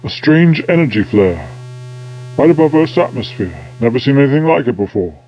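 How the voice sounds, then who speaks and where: A man's voice: mid-range voice, very animated, moderate pace, lo-fi sound, faint music behind, natural voice. A man playing an eccentric, self-important time-travelling scientist in an audio drama, pompous and absent-minded. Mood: musing.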